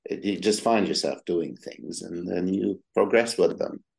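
A man's voice: deep voice, measured pace, gravelly, darkish tone. A middle-aged man from Egypt, a psychiatrist answering interview questions with many hesitant ums and uhs. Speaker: psychiatrist guest.

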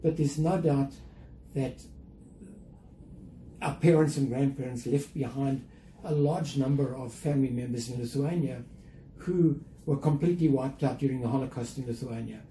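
Mellow older South African man. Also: medium pitch, moderate pace. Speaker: interview guest, museum chief executive. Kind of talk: reflective interview conversation. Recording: roomy echo, faint background noise.

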